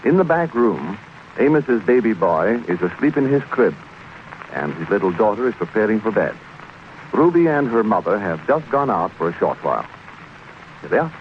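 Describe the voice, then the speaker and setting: A man's voice: lowish voice, very animated, normal speed. A man working as a radio announcer, reading scripted scene-setting narration in 1940s broadcast style. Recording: muffled vintage radio broadcast, faint noise.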